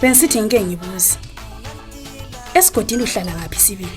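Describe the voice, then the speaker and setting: A woman's voice: mid-range voice, fast talker, over music, close to an everyday voice. A woman with a Zimbabwean accent acting a wronged, fed-up wife in a radio drama, probing and reproachful.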